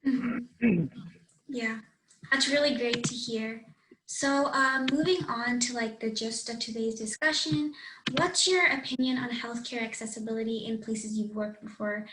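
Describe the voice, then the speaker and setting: A young woman interviews a guest in a polite, informal, appreciative manner. Her voice sits a little high, with strong room echo and faint noise on the recording. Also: fairly even intonation, a little slow, fairly bright tone. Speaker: interview host.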